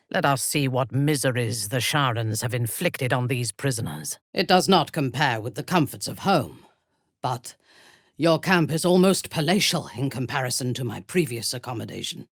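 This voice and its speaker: Low, imperious dark elf warlord. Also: female voice, animated, no echo, studio quality, full character voice. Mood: cold, disdainful. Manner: haughty, formal, deliberate. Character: cruel, imperious female dark elf warlord, cold and devout. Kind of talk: dramatic fantasy game voice acting.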